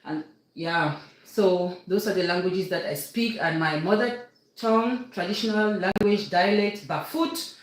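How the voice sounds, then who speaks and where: A woman's voice: lowish voice, unhurried. A woman from Cameroon with a Cameroonian English accent, hosting a casual live baking demonstration and often checking in with her viewers. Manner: chatty, instructive, keeps checking in with viewers. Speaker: cooking livestream host. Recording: very echoey room, faint noise.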